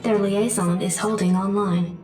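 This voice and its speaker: A woman playing a cool female ship computer, announcing status reports in a flat voice. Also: medium pitch, measured pace, natural voice. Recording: echoey, distant voice with music.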